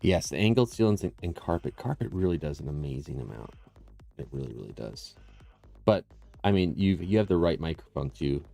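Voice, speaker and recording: A man's voice: very deep voice, sing-song, brisk, creaky, mellow, faint music behind. A man hosting a live painting stream, chatting casually with viewers and explaining his work, often trailing off and restarting sentences. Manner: rambling, self-interrupting, explains as he works. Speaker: livestream host and digital painter. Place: small room with tile floors. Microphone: close condenser mic with processing.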